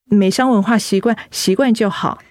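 A woman's voice: mid-range voice, normal speed, no echo, clean studio sound, natural voice. An actress playing a young, ailing office woman who hides her troubles, speaking hesitant, apologetic Taiwanese Mandarin in a radio drama. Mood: apologetic, subdued. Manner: hesitant, apologetic, softly evasive.

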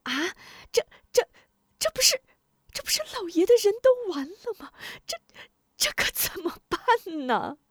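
A woman voicing a frantic official's wife in a told story, stammering and pleading in a shrill, anxious way. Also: sing-song, a little slow, fairly bright tone, no echo, clean studio sound, exaggerated voice. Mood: panicked.

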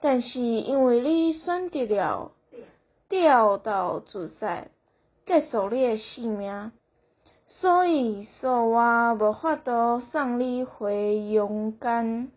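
A teenage girl playing a solemn, merciful bodhisattva with a measured, sighing manner in an amateur radio drama. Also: a little high, lively intonation. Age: teens. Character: solemn, merciful bodhisattva, a slow-speaking deity. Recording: muffled, roomy lo-fi recording.

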